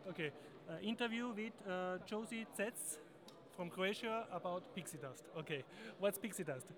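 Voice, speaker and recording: A man's voice: high-pitched, moderate pace, crowd noise. A man interviewing a girl, often restarting and rephrasing his questions. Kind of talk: casual interview.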